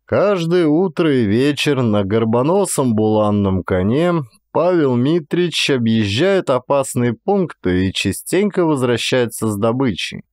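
Guy narrating an old story like an actor, really lively intonation, dry sound. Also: medium pitch, measured pace, hardly any pauses, no room sound. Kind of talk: expressive dramatic literary narration.

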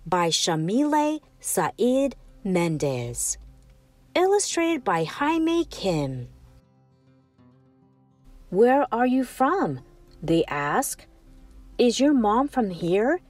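A woman narrating a children's picture book as a storytime host, with expressive, deliberate phrasing. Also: a little high, dry recording, recorded from a distance. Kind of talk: scripted picture-book read-aloud. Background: music.

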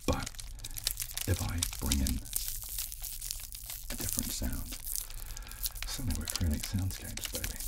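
Very deep, hushed man, clicky background. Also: lively intonation. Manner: hushed, unhurried, hesitant restarts, often slipping into whispers. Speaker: host and ASMR instructor. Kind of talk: soft-spoken ASMR tutorial with casual chat. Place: small furnished room, indoors. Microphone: desk condenser microphone, close up. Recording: close-mic with clicking and crinkling handling noise. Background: button clicks, crinkling.

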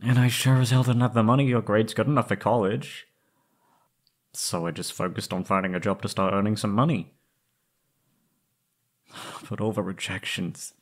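A young man acting an anxious, wistful former captive in a scripted roleplay audio drama, speaking hesitantly and haltingly. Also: on the low side. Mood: weary, frustrated.

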